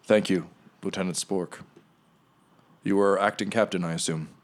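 Solemn injured starship captain, low-voiced and measured, played by a man. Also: fairly even intonation, natural voice. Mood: formal. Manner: solemn, halting, quiet. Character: wounded proud male starship captain, grave.

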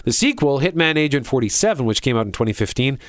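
A man's voice: mid-range voice, very animated, fast, no echo, clean studio sound. A man co-hosting a show, chatting casually and with animation about entertainment. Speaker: show co-host. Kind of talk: casual chat reviewing entertainment.

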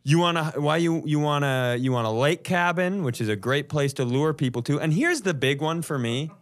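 A man's voice: a little high, moderate pace. A man co-hosting a comedy podcast, bantering casually in a teasing, mock-prosecutorial way.

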